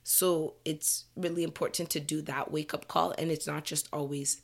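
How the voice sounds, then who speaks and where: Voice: medium pitch, flat delivery, normal speed, gravelly. A podcast host with a froggy voice from a slight cold, giving a candid, earnest motivational monologue to listeners.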